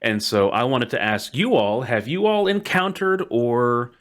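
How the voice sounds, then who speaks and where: A man's voice: mid-range voice, lively intonation, measured pace, fairly bright tone. A man hosting a casual podcast chat in a playful, jokingly ceremonious way. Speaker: podcast host.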